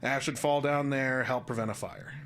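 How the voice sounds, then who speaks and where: A man's voice: mid-range voice, moderate pace, fairly bright tone, slight background noise, natural voice. Actor as a folksy small-town handyman. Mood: friendly, folksy. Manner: folksy, wry, easygoing. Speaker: actor in audio drama. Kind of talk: naturalistic radio drama acting.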